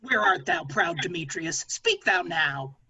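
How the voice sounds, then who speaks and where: A woman playing a proud, defiant young male lover with a husky voice, reading Shakespearean verse on a video call. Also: lowish voice, lively intonation, unhurried, sharp tone, exaggerated voice. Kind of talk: Shakespeare table read in verse. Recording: muffled video call audio.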